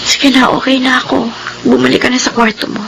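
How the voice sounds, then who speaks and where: An actress with a Filipino accent playing a troubled young sister in a radio drama, guarded and curt. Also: female voice, high voice, flat delivery, fairly quick, vocal fry, heavy background noise. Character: troubled young daughter, guarded with her brother.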